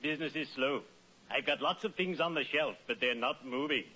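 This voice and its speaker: An actor playing a genteel, morbidly cheerful undertaker with formal speech, in a 1940s radio comedy style. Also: male voice, medium pitch, fairly quick, bright, forceful tone. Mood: morbidly genial. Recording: muffled, noisy old radio recording.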